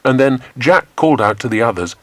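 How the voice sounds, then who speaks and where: A man with an English accent narrating a children's adventure story in a measured, dramatic storytelling manner. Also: mid-range voice, expressive, a bit bright, dry recording. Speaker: audiobook narrator.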